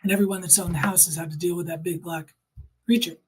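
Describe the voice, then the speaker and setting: Earnest middle-aged woman, slightly husky. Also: lowish voice, fairly even intonation, fast. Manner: earnest, emphatic storytelling with acted-out quotes. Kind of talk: personal testimony in conversation.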